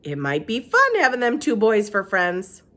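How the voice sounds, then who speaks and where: A woman voicing a kindly, weary old woman who speaks in a gentle, folksy, plain-spoken way. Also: medium pitch, huge pitch swings, moderate pace, voice pushed up. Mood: gently coaxing. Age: older.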